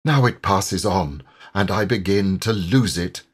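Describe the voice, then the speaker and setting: A man's voice: low voice, unhurried, breathy edge. A man voicing an awestruck, dreamy water rat in an audiobook, with breathless, yearning exclamations. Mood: fading wonder. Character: rapturous male water rat, awestruck dreamy poetic animal. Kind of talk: audiobook character voice.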